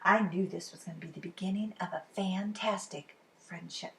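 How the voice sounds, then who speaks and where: A woman reading a children's story aloud as expressive storytime narration, animated and with dramatic pauses. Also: on the low side, background noise. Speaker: storybook reader.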